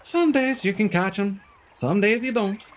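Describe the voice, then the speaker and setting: A man's voice: high voice, unhurried, pitched above the performer's own voice. A man voicing a carefree, easygoing fisherman from a video game. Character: carefree, high-voiced male game fisherman.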